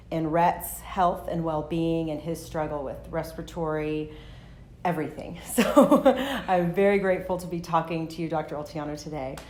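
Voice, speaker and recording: Woman host with a slightly low voice, chatty and friendly, asks interview questions. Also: darkish tone. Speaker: host and health coach. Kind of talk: podcast intro and interview.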